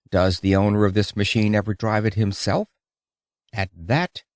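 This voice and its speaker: A man's voice: on the low side, no room sound, studio quality. A man narrating an audiobook of an old-fashioned lecture in an emphatic, theatrical storytelling manner.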